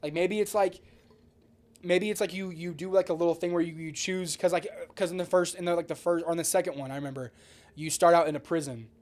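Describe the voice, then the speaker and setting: A man with a slightly high voice chats casually as a podcast co-host. He is enthusiastic and loose, using slang and swearing freely. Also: steady tone, fast talker, a little mellow. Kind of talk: casual podcast chat about video games.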